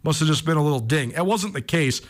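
A man's voice: fairly high pitch, animated, quick pace. A man speaking as a sports radio host, giving informal, enthusiastic commentary in a conversational style.

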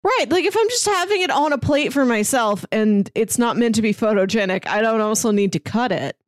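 A woman with a fairly high, very animated voice chats casually as a co-host. She explains her reasoning with playful argument, in a dry, close recording. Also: a little fast, no room sound. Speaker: podcast co-host. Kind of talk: casual banter chat.

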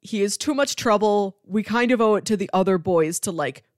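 A woman co-hosting a podcast in a casual film discussion, animated and given to acting out dialogue as she talks. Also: medium pitch, normal speed, dry recording, clean studio sound. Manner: animated, acts out characters' lines. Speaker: podcast co-host.

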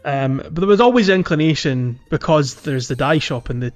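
A man's voice: on the high side, animated, normal speed. A man co-hosting a video game podcast in a casual, chatty style. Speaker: podcast co-host. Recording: dull lo-fi with background music.

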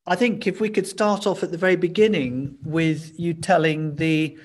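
Measured man hosting a podcast. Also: fairly high pitch, steady tone. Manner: measured and formal. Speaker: podcast host. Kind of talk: scripted introduction.

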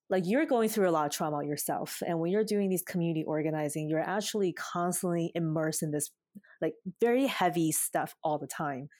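A woman's voice: fairly low pitch, a little mellow, no room sound, studio quality. A woman who organizes a campaign and her community, talking earnestly and thoughtfully in a casual chat.

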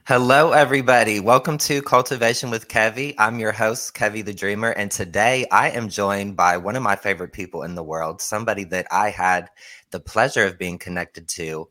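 A man in his thirties hosts a casual podcast interview. His voice sits low, and he keeps things friendly and upbeat, laughing easily as he asks his questions. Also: a little fast, sharp tone. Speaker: podcast host.

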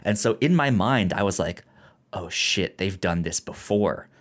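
A man's voice: deep voice, expressive, measured pace. A man co-hosting a podcast, chatting casually about a movie, often stammering and repeating syllables. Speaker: podcast co-host.

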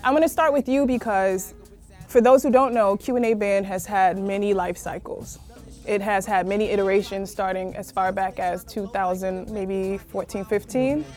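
A woman hosting a live studio interview podcast, playful and lively, fond of wordplay, speaking from a radio studio. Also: mid-range voice, moderate pace, soft background music. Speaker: podcast host.

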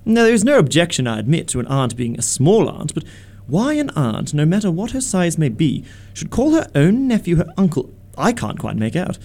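Man playing a witty, flippant young English gentleman, lively pitch. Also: moderate pace, heavily acted. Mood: triumphant, needling. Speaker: amateur radio theatre actor. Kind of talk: radio play acting of a Victorian comedy of manners.

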